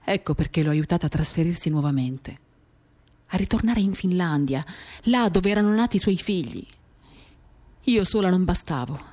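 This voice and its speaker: A woman giving a measured, expressive dramatic reading of a first-person novel, pausing as she tells it. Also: fairly low pitch. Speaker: reader of a literary work. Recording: acoustic guitar music behind the voice. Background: acoustic guitar accompaniment.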